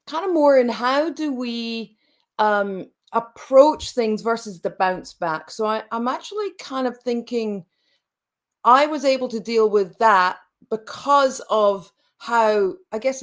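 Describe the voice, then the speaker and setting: Reflective woman in her late forties. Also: on the high side. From Scotland. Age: late 40s. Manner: reflective, halting, many restarts. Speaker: coach, guest. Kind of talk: conversational interview.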